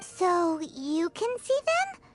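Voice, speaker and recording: A tiny childlike fairy girl from a video game, extremely high-pitched and swooping wildly from note to note. She is cheeky, exclamatory and endlessly curious, drawing words out slowly over a music bed. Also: huge pitch swings, full character voice. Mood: nosy, eager. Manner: refers to self by own name in third person. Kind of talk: video game character acting.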